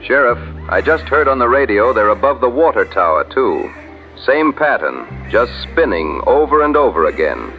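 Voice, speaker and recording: Local officer on the radio: animated mid-range voice through a narrow tinny transmission. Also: male voice, medium pitch, moderate pace, faint music behind. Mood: concerned. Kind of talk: radio drama acting.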